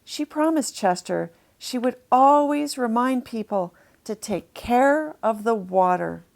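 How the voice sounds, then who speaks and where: Slow, high-voiced woman reading to children. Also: expressive, gravelly, a little mellow. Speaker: author reading a children's story. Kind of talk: storybook narration for children.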